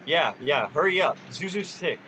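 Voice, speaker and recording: A man's voice: a little high, expressive, moderate pace, bright, forceful tone, a little hiss or hum, poor audio. A man acting a desperate, stubborn family man in an amateur radio play. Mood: agitated.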